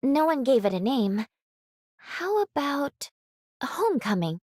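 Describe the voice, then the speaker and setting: An easygoing, supportive young companion, a little high in pitch with lively intonation. The style is anime game acting. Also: female voice, slow pace, no room sound, clean studio sound, heavily acted. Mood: easygoing, warm.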